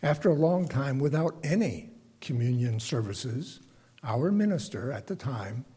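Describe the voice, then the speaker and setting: An older man with a bass singing voice gives a reflective, prepared lay sermon to a congregation, speaking deliberately and haltingly with pauses. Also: lively intonation, dark tone, breathy edge. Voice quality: self-described bass voice.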